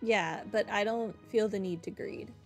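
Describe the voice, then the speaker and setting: A woman's voice: medium pitch, moderate pace, over music. A woman hosting a video game playthrough, reading dialogue aloud.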